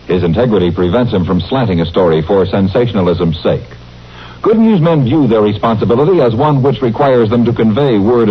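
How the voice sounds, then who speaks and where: A man's voice: mid-range voice, a little hiss or hum. A man delivering an emphatic, polished promotional announcement in the style of 1950s radio. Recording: muffled old radio recording.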